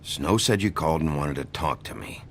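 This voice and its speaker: An actor playing a weary, gruff lawman in a game drama, blunt and dry, with a deep gravelly voice. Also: lively intonation, moderate pace, a little hiss or hum. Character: weary, gruff male lawman investigating a case. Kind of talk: video game drama acting.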